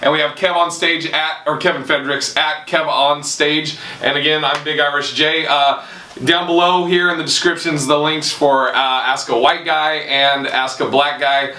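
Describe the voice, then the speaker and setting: Bright-voiced host in a roomy room. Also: male voice, on the high side, fairly even intonation, moderate pace. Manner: rambling, self-interrupting. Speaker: show host. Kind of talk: casual panel chat. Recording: roomy, distant mic.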